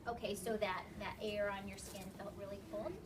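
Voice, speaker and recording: A woman's voice: mid-range voice, little pitch movement, moderate pace. A woman teacher reading aloud to young children, explaining as she goes and checking in with questions. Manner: instructive, checking understanding with questions and frequent "okay". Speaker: elementary school teacher. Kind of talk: interactive read-aloud to a class of young children. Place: classroom, recorded from across the room. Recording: distant mic, noisy classroom background.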